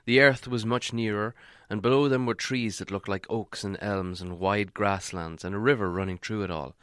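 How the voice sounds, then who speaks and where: A man's voice: on the low side, steady tone, normal speed, a bit bright, clear, resonant tone, dry recording, clean studio sound. Man reading a storybook steadily. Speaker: audiobook reader. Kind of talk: audiobook narration.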